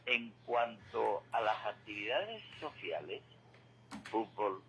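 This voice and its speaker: Forceful man, emphatic radio commentator. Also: fairly low pitch, animated, slow, slight background noise, rough recording. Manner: emphatic, didactic, repeats points and asks rhetorical questions. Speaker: radio commentator on current affairs. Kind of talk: opinionated conversational radio commentary.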